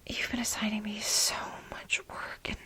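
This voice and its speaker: A woman's voice: medium pitch, level tone, sharp tone, natural voice. Woman acting a whispering, flustered, lovestruck office assistant. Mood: burnt out, confiding. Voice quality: breathy, whispery. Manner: halting, dramatic, confiding. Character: flustered, lovestruck female office assistant confessing to married boss. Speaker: ASMR role-play performer. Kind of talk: soft-spoken ASMR role play.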